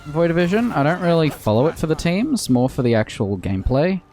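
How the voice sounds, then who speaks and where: A man's voice: a little high, lively intonation, fairly quick, a little mellow. A man, a game streamer, chatting casually while he plays. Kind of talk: casual chat while gaming. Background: game music.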